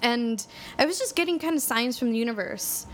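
A woman hosting an interview, relaxed and conversational as she shares her own story. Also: on the high side, brisk, slight background noise. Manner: relaxed, conversational, sharing personal stories. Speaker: host.

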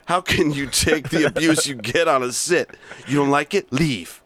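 Man playing a cocky swearing sales boss, higher voice, dry close sound, aggressive amateur reading. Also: normal speed, a bit bright, no room sound. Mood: berating. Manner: read aloud from a script, stumbling at times. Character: brash abusive male big-shot sales boss.

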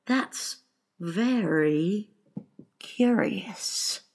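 A woman's voice: a little high, big pitch swings, a little slow, a bit bright, voice pushed up. Woman voicing a mournful, plaintive old-fashioned storybook turtle. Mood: thoughtful. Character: mournful, easily offended male Mock Turtle, slow and weary. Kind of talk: storybook character reading.

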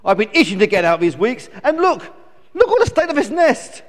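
A man's voice: very high-pitched, very animated, fairly quick, pitched above the performer's own voice. A man voicing a bossy, ungrateful newborn eagle chick in a live children's story, complaining and scolding. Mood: indignant complaining. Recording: echoey hall with long reverb.

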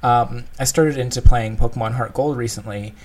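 A man's voice: medium pitch, fairly even intonation, normal speed, a little mellow. A man co-hosting a casual discussion, explaining his thoughts in a thoughtful, conversational way. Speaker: podcast co-host discussing game design.

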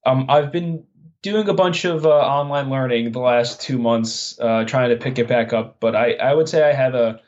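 A man's voice: medium pitch, highs cut off. A young man from Long Island, New York, talking casually as an interview guest. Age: young adult. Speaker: podcast guest, recent chiropractic graduate.

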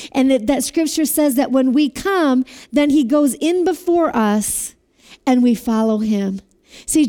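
A woman preaches a sermon in a high, mellow voice. She has a loud voice and keeps asking her listeners to repeat lines back to her. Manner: asks the audience to repeat phrases.

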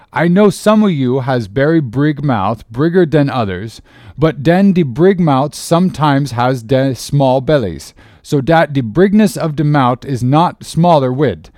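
A man's voice: medium pitch, darkish tone, no echo, voice pushed up, lightly acted. Grumbling, elderly male sea cook, played by a man reading a storybook, drawling testily in dialect, dry sound. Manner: slow, drawn-out, testy dialect speech. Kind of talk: audiobook character reading.

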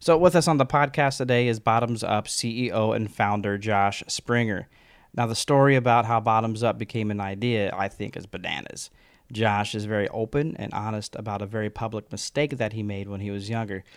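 A man's voice: fairly low pitch, steady tone, brisk, smooth. Guy hosting a podcast, upbeat, lots of restarts, recorded in an office. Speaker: podcast host. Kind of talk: casual interview. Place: guest's office.

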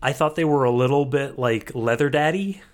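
A man's voice: mid-range voice, normal speed. A man co-hosting a podcast in casual chat, riffing on a cartoon with a wry, loose manner as he searches for words. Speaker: podcast co-host.

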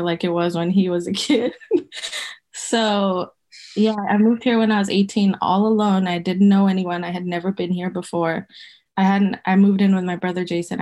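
A woman in her thirties, from Zimbabwe and raised in Botswana, talks as a podcast guest. She rambles and corrects herself mid-sentence, laughing often, at a pitch typical for a woman. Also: a little mellow. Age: 30s. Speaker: guest, folk herbalist. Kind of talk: casual interview conversation.

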